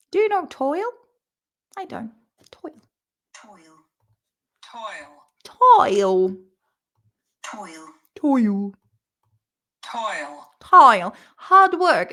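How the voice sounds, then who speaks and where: Young Czech woman, vlog host; crisp, bright tone, a bit high; loose, sweary, giggly study-along chat. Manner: chatty, swears freely, laughs often; sounds words out slowly and repeats them.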